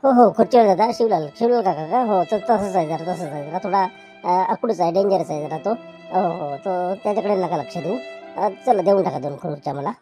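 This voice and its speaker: A woman's voice: lowish voice, fairly quick, warm tone, faint music behind, close to an everyday voice. A woman voicing a chatty village customer who rambles eagerly and haggles. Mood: eager haggling.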